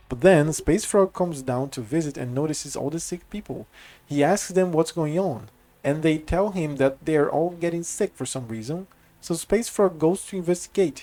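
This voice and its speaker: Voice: mid-range voice, mellow. A game streamer giving casual playthrough commentary and reading in-game text aloud.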